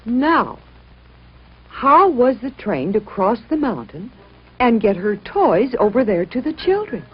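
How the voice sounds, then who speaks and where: A woman narrating a children's story from a script in a dramatic, expressive and emphatic way. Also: on the high side, slow pace, husky. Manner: dramatic, emphatic, acts out sound words. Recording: dull lo-fi recording, music behind.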